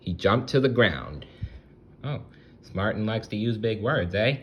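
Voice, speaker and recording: A man reading a children's story aloud as storyteller, expressive, with conversational asides to the listener. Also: on the low side, measured pace, highs cut off, a little hiss or hum.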